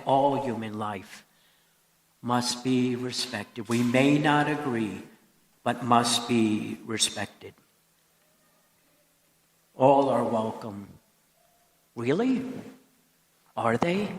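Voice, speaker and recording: Priest guy doing a homily, really slow n solemn, big echoey church. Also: medium pitch. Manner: solemn, emphatic repetition, deliberate pauses. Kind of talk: sermon. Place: large church interior. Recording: echoey church with long reverb.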